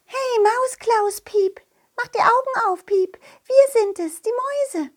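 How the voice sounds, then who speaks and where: Tiny, shrill, squeaky peeping mouse. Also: female voice, takes it slowly. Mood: urgent, helpful. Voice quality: thin, squeaky. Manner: peppers talk with peeping noises. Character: tiny, excitable squeaky mice, playful and helpful. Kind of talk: children's audio play.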